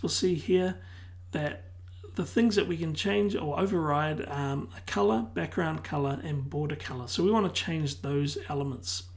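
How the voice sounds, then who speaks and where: A tutor losing their voice who keeps clearing their throat, calmly explaining a software tutorial one step at a time. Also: mid-range voice. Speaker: web design tutor. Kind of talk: screen-recorded software tutorial.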